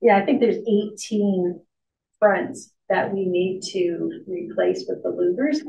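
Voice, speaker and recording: A woman's voice: mid-range voice, slow pace, gravelly, dark tone. Woman reporting at a meeting, echoey and far. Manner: plain, matter-of-fact, many ums and restarts. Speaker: staff member presenting to a board. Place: large meeting room. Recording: echoey, distant, dull, faint hiss.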